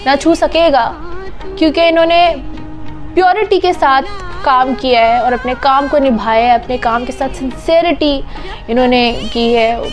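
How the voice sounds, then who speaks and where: A sorrowful woman giving a heartfelt spoken tribute, halting as she searches for words and slipping in English phrases. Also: high voice, steady tone, music in the background. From Pakistan. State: grieving, sorrowful. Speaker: singer paying tribute.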